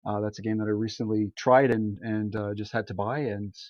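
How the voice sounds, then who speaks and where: A man's voice: lowish voice, level tone, a little fast, darkish tone, no echo, highs cut off. A man speaking casually as a guest on a podcast about his hobby. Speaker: podcast guest, board game designer. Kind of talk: casual chat.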